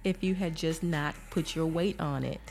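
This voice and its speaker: A woman's voice: sits low, normal speed, gravelly, a little mellow. A woman with a cold, her voice nasal, teasing her husband on their casual podcast. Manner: teasing, laughing. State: sick, nasal. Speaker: co-host, host's wife. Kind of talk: casual chat.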